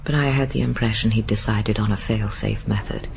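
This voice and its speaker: A woman's voice: rumbling low, fairly even intonation, brisk, creaky, a little mellow, slight background noise, rough recording. An actress with a British accent playing a shaken, guarded but tender woman, hesitant and subdued, in a radio drama. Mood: guarded, sorrowful.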